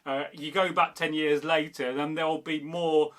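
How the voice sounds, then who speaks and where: Bright-voiced rambling man. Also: fairly high pitch, level tone, normal speed. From Derby, England. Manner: rambling, meandering, many fillers and restarts. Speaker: history channel host. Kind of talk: casual chat reading viewer comments.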